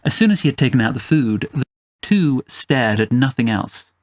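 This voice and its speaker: A man's voice: mid-range voice, lively intonation, normal speed, no room sound. Man narrating a fantasy story, dry sound. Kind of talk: scripted first-person fantasy narration.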